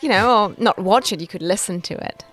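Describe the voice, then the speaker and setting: A woman's voice: mid-range voice, a little fast, a bit bright, low-quality recording. A woman who is an executive producer, co-hosting a podcast in an informal, chatty conversational style. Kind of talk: casual two-person podcast chat.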